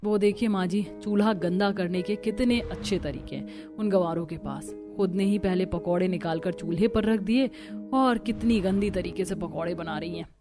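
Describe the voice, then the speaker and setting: A woman voicing the family characters of a cartoon story with expressive household dialogue. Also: on the low side, normal speed. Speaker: voice actor for several characters. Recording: voice over clear background music.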